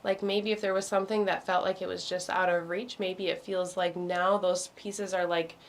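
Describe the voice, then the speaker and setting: A woman hosting an online astrology meditation, talking casually with many ums and restarts, her voice going hoarse. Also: fairly low pitch, level tone, moderate pace, a little hiss or hum. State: losing her voice. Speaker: meditation host. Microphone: video call.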